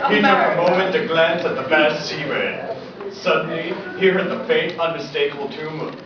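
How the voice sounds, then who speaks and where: A man's voice: fairly high pitch, moderate pace. A man reading a comic story aloud at a convention panel, dramatically and with mock seriousness. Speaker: fan fiction reader at a convention panel. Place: large indoor convention room. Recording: echoey hall, distant, dull, loud crowd noise. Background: loud audience noise.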